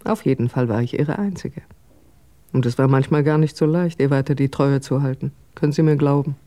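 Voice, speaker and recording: Wry older stage diva, low-voiced. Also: female voice, fairly quick, mellow. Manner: wry, theatrical, talkative. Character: chatty, self-mocking ageing stage actress. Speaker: actress. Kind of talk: radio drama dialogue.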